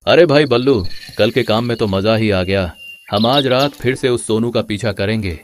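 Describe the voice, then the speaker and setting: A man's voice: on the low side, normal speed, a little hiss or hum, natural voice. A man voicing a crafty, greedy village sidekick in a cartoon story, speaking in an emphatic, scheming way. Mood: gleeful. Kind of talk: Hindi cartoon moral story dialogue.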